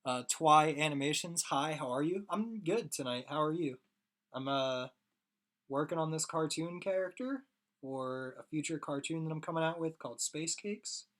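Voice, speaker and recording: A hoarse young man rambling casually to his viewers while he draws on a live stream. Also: a little high, lively intonation, normal speed, a bit bright. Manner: rambling, chatty, talks to chat viewers. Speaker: streaming artist.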